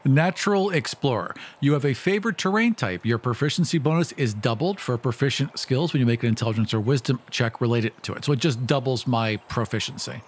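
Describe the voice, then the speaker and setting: Male tabletop player, medium pitch, casual explanatory chat, slightly wry. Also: animated, fairly quick, hardly any pauses.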